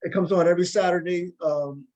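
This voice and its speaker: A man's voice: sits high, steady tone, fairly quick, a little mellow. A man hosting a casual interview over a video call, often hesitating and repeating his words as he goes. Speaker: talk show host.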